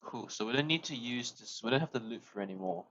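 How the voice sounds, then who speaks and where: A man's voice: medium pitch, lively intonation, fast talker, a bit bright, muffled recording, a little hiss or hum. A young man, chatting while he codes on a live stream, thinking aloud, restarting phrases and chuckling often. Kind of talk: casual live-stream coding chat.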